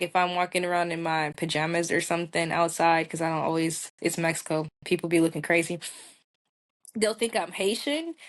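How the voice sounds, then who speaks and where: An American woman talking candidly and informally as a guest in a casual interview, with colloquial phrasing. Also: fairly low pitch. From United States. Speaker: podcast guest. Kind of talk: casual conversational interview answers.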